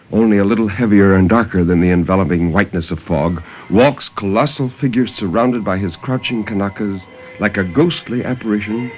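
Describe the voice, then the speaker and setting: A man's voice: fairly low pitch, natural voice. An actor playing a stern sea captain who recounts his ordeal in formal, theatrical 1950s radio horror narration. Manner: theatrical, intense, formal diction. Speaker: storyteller. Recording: dull, lightly noisy 1950s radio recording.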